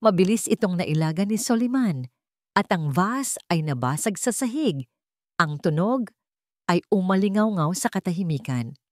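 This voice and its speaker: Filipino female audiobook narrator, lower register, dry close sound, suspenseful measured delivery. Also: lively intonation, a little mellow, no echo. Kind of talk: scripted dramatic story narration.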